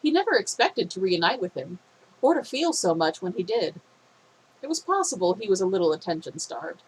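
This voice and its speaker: A woman's voice: medium pitch, sing-song, fairly quick, a little hiss or hum, poor audio. Phlegmy woman reading a story aloud. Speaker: fan fiction reader.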